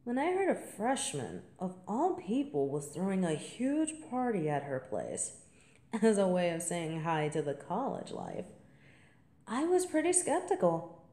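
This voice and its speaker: A woman playing a confident, playful college senior in an intimate, seductive audio roleplay monologue, teasing and at times breathy. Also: lowish voice, wildly swooping pitch, a little slow, mellow, echoey room, full character voice. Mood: amused, confiding.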